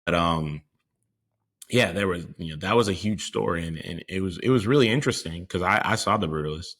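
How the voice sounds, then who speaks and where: A man's voice: low-pitched, fairly quick, fairly bright tone. A man co-hosting a podcast, speaking in an earnest, reflective way with frequent hesitations. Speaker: podcast co-host. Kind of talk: casual conversational chat.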